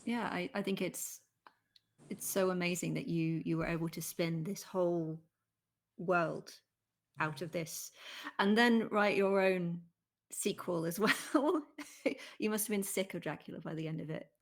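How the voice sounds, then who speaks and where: Slightly low-voiced woman interviewer, enthusiastic. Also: unhurried. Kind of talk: casual interview chat.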